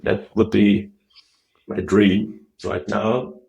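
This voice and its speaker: A middle-aged man from Moldova, a native Russian speaker, talking softly and haltingly with many fillers as a guest on a video call. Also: fairly low pitch, level tone, creaky, muffled recording. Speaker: guest, Zen teacher. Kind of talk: relaxed interview talk. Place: dim wood-walled room.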